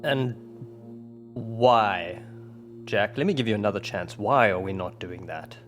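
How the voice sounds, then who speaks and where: A skeptical, challenging field agent, played by a man in a tabletop game. His voice is fairly low, blunt and pressing, with faint music underneath. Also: measured pace, fairly bright tone. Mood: insistent.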